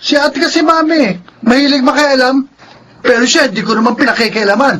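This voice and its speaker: A very high-pitched, cheeky Filipino teenage boy wheedles and talks back in a radio drama. There is faint noise behind him. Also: a little fast, bright, forceful tone. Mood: defensive, indignant. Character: teenage son Eljan, cheeky, wheedling student.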